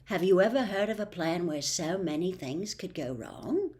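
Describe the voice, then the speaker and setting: Storybook schoolboy, read by a woman, doubtful and grudging about schemes, expressive pitch movement. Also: normal speed, close to an everyday voice. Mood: doom-laden.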